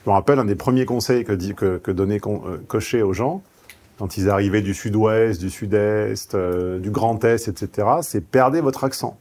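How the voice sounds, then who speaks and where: A man's voice: on the low side, normal speed, darkish tone. A man who grew up in southeastern France giving a paid seminar, frank and salty, telling personal anecdotes. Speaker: seminar speaker. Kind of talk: seminar talk.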